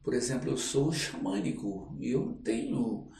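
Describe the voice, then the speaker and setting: An older man with a Brazilian accent tells stories conversationally as an interview guest, in an expressive, reflective way, often checking in with tag questions. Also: medium pitch, moderate pace. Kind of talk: conversational storytelling. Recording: roomy, distant mic, faint noise.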